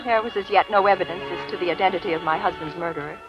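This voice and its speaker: An actress playing a genteel, calculating widow who narrates an old radio thriller with precise, theatrical diction. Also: female voice, on the low side, racing, lightly acted. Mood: serene, confiding. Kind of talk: 1940s-50s radio drama acting. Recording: dull vintage radio recording, music behind.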